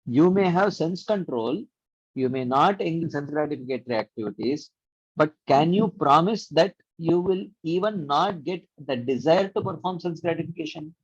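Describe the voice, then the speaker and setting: A man teaching scripture on an online call, his voice sitting slightly high for a man and coming through dry and dull. He explains patiently and at length, with frequent hesitations, and recites short verses in a chanting tone. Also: expressive, moderate pace, breathy edge, no room sound. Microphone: computer mic on a video call.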